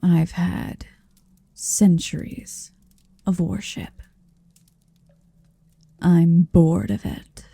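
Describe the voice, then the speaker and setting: An ancient dragoness in human shape, acted by a woman, murmurs in a very dark, velvety voice with a gravelly edge. She is haughty and doting, speaking extremely slowly and breathily as she commands and teases in an intimate ASMR roleplay. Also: lowish voice, fairly even intonation, no room sound, exaggerated voice. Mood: possessive, admiring. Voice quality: breathy, often whispering. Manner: slow, languid, commanding, teasing.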